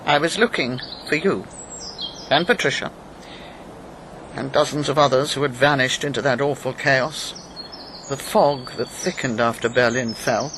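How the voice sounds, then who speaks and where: A steely older woman, once a wartime agent and Nazi-hunter. She speaks with grave, measured control in naturalistic radio drama style. Birdsong and ambient noise sit clearly behind her. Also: rumbling low.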